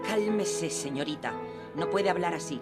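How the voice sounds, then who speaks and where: Audio drama role: cold, unyielding asylum nurse played by a woman, lowish authoritative voice over clear music. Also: normal speed, lightly acted. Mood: cold, firm. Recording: prominent music under the voice.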